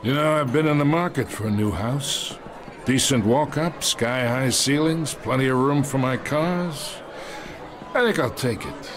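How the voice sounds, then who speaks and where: An actor playing a smug, menacing middle-aged mob boss in a video game, with a slangy, swaggering, taunting delivery. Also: male voice, mid-range voice. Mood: smug, mocking.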